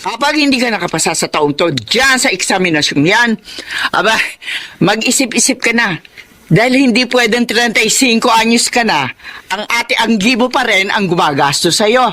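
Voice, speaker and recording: A woman's voice: on the high side, animated, moderate pace, a bit bright. A woman playing a disapproving, exasperated mother of a grown son in a drama, nagging and scornful. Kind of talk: emotional Filipino audio drama acting.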